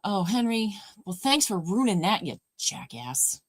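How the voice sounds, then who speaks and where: A woman with a deep voice hosting a live watch party, chatting casually and answering viewers' comments by name. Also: big pitch swings, a little slow, dry recording. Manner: chatty, warm replies to chat, addresses viewers by name. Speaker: livestream host.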